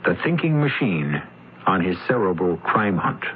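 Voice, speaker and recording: A man hosting and narrating suspense radio drama in a dramatic, deliberate manner. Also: low voice, big pitch swings, slight background noise. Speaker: host and narrator. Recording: narrow-band radio broadcast, loud music behind.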